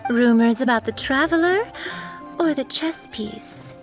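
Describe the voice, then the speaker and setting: A woman's voice: high-pitched, expressive, measured pace, faint music behind. An actress playing a teasing, coquettish young woman shopkeeper in a video game, sly and lilting in manner.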